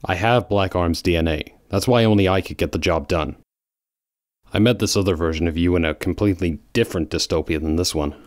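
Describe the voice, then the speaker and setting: A man's voice: sits low, brisk, no echo, clean studio sound, natural voice. A man playing a brooding, guarded male hedgehog in a fan audio drama, speaking curtly and seriously. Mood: grim.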